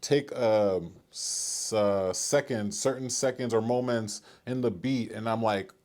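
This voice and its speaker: Man, deep loud voice, halting and rambling, casual. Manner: rambling, halting, many fillers. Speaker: aspiring singer. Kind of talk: casual chat.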